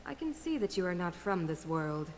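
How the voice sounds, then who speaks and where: An actress playing a composed, commanding middle-aged female leader, speaking in a measured, regal manner in a game cutscene. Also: lowish voice, very animated. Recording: long hall reverb, faint noise.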